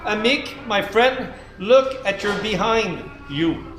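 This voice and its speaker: A man's voice: sits high, animated, slow pace, a bit bright, reverberant, soft background music, voice pushed up, heavily acted. A storyteller voicing a friendly male forest animal who speaks nervously and apologetically.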